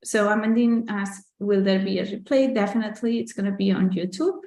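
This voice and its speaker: A woman's voice: mid-range voice, steady tone, a little fast, darkish tone. A woman with a Catalan accent, whose first language is Catalan, talks casually through a live question-and-answer session, reading out viewer questions. She sounds candid and chatty, full of "like" and "you know". The room around her is roomy and echoey. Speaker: artist and business owner hosting a live Q&A.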